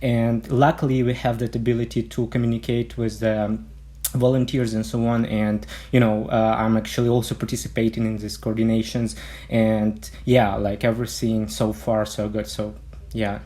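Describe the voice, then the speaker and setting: Flat-voiced man. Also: lowish voice. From Ukraine. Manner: halting, fills with "like" and "uh". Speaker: interview guest.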